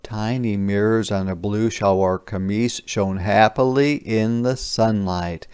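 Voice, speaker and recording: A man reading a picture book aloud as a teacher, pausing to explain words simply in a deliberate storytelling manner. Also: fairly low pitch, steady tone, darkish tone, smooth. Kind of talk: interactive children's read-aloud with explanations.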